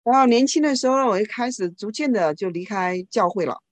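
A woman interpreting a speaker's talk into another language live, quickly and informally, often correcting herself. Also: medium pitch, lively intonation.

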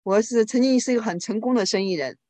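A woman interpreting a speaker's talk into another language live, quickly and informally, often correcting herself. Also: a little high, dark tone.

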